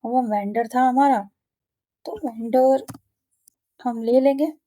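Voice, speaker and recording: A woman giving an informal, step-by-step software tutorial in an instructional manner, often checking in with the learner. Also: high voice, fairly even intonation, slow. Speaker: software trainer. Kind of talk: step-by-step screen tutorial, informal spoken explanation.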